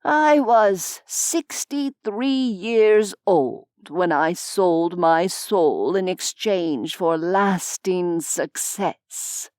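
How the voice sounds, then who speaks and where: Woman doing a wry old medieval nobleman, lowered voice, unhurried, dry close sound. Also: expressive, darkish tone, dry recording. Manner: slow, measured, dryly self-mocking. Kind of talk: audiobook character voice.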